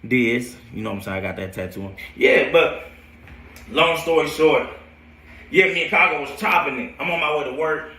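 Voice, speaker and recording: Bright-voiced young American man, echoey and distant. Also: a little high, normal speed. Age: late 20s. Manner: slangy, rambling, repeats phrases, acts out quotes. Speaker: football coach telling his own stories to viewers. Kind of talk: casual storytelling livestream. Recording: roomy echo, distant from mic.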